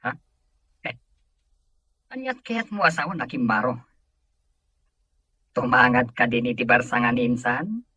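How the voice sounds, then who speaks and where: A man with a Filipino accent playing a scheming, hot-tempered, money-hungry schemer in a radio drama, with a husky voice. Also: medium pitch, very animated, a little slow, pauses often, bright, forceful tone, no room sound, lo-fi sound, full character voice. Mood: irritated, mocking. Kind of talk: Ilocano radio drama acting.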